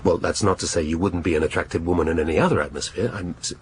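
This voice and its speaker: Guy acting bumbling older police inspector, really low voice, hesitant and polite, radio drama, bit of noise. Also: close to an everyday voice. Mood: awkward, hesitant. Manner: hesitant, halting, awkwardly polite. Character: bumbling, melancholy middle-aged male police chief inspector.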